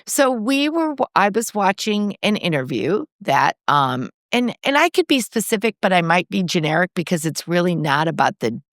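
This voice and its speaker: A woman, the mother half of a podcast duo, chatting casually and telling stories with lively restarts and laughs. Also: normal speed, hardly any pauses, dry recording, clean studio sound. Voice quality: low voice. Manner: animated storytelling, frequent restarts and laughs. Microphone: headphones on, studio-style setup.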